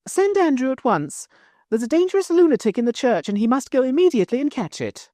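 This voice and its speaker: A man's voice: extremely high pitch, big pitch swings, a little fast, warm tone, no echo, studio quality, voice pushed up. A man voicing a fussy, easily alarmed country vicar who blurts flustered exclamations.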